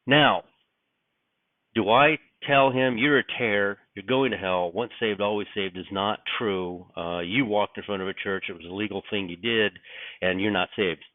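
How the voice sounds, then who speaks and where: Raspy man, just up, low pitch, rambling and musing, tinny dry recording. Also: no echo. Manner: rambling, musing, frequent restarts and fillers. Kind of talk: casual spoken reflection. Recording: dry, tinny phone-like sound.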